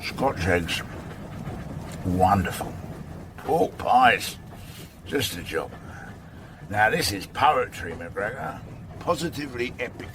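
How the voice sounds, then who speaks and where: An actor playing a gruff, sardonic older chief inspector with a British accent in a radio drama, talking in a blunt, patronising way. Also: male voice, on the low side, lively intonation, takes it slowly, long pauses, noisy background. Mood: satisfied, musing.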